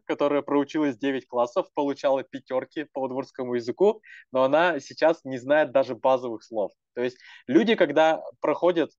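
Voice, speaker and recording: Young male activist, earnest, rambling talker, mid-pitched, dry and slightly muffled recording. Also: a little mellow, no echo. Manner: earnest, rambling with many fillers and restarts. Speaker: language activist. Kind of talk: casual panel talk.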